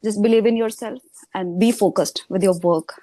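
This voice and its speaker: A woman with a mellow, soft-toned voice speaks as a channel host in casual conversation. She talks reflectively, searching for words with many pauses and fillers. The sound is dry and close to the mic. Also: medium pitch, steady tone, dry recording. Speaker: YouTube English teacher, host.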